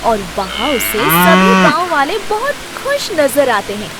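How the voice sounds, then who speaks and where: A woman's voice: fairly high pitch, huge pitch swings, unhurried, very noisy. A woman narrating a scripted children's story as its storyteller. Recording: loud music under the voice.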